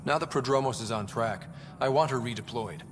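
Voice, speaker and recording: A man's voice: medium pitch, fairly quick, noisy background, close to an everyday voice. Man playing a casual space explorer, long reverb. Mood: direct, inquiring. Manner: casual, direct. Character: young male space explorer leader, casual and direct. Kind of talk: video game character dialogue. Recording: reverberant hall, clear background ambience.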